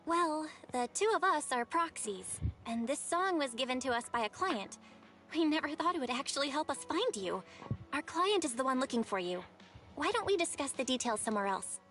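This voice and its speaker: An actress playing a chipper, teasing young sister in a video game, casual and playful. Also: female voice, high voice, big pitch swings, moderate pace, fairly bright tone. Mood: friendly, businesslike. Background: game music.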